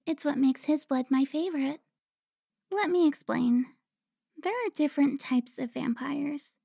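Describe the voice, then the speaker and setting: A woman playing a seductive, haughty vampire informant in an audio drama, teasing with drawn-out, theatrical delivery. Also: high-pitched, gravelly, warm tone, no echo, clean studio sound. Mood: playful, gloating. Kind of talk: cinematic audio roleplay acting.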